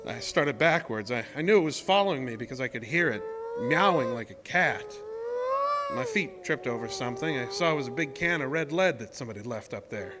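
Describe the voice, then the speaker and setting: An actor playing a rough-spoken oil rig worker telling his own eerie tale in a colloquial, old-time radio horror monologue. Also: medium pitch, sing-song, a little fast, heavy background noise, close to an everyday voice. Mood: frightened. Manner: colloquial, folksy, haunted storyteller.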